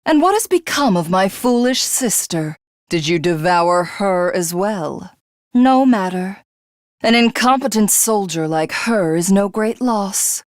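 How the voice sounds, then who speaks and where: A haughty alien warrior woman from a video game, played by an actress, who hungers for godhood. She speaks slowly and grandly in a theatrical villain's manner, recorded very dry and close. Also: mid-range voice, expressive, dry recording, natural voice. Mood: haughty, menacing. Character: arrogant, power-hungry alien warrior woman craving godhood. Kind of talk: video game character voice acting.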